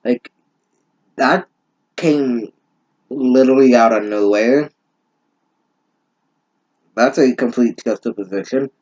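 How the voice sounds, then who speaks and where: A man's voice: mid-range voice, slow, pauses often, a little mellow, muffled recording. A man giving a mocking reading of fan fiction, breaking in with exasperated, sarcastic, sweary asides. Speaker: host of a bad-fanfiction reading series.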